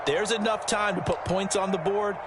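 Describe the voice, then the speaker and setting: A man's voice: sits high, fairly even intonation, moderate pace, heavy background noise, lightly acted. A man voicing a video game's football color analyst, explaining strategy in a coach-like way. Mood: analyzing.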